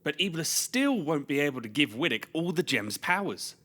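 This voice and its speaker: A man playing an anxious but hopeful adventurer with a husky voice in a fantasy audio drama with long reverb. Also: fairly high pitch, sing-song, moderate pace, sharp tone, exaggerated voice.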